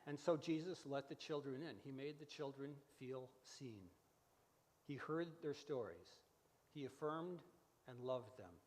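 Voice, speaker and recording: An older man hosting a church radio show, telling reflective stories in measured, deliberate phrases with pauses. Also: mid-range voice, a little mellow. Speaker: radio variety show host. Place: large church fellowship hall, live radio show. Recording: echoey hall, music behind.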